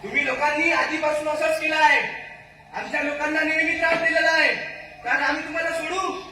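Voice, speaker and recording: A man's voice: extremely high pitch, flat delivery, fairly quick, pushed and bright, heavily acted. A man playing an angry, distrustful forest-tribe leader in an amateur stage play, declaiming accusations and pointed questions. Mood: furious accusing. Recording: echoey hall, far mic, faint noise.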